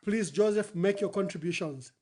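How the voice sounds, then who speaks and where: This is a Zambian man working as a phone-in host. His voice sits high for a man, with a mellow, rounded tone. He speaks as a live presenter fielding calls and questions. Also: moderate pace. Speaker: radio call-in host.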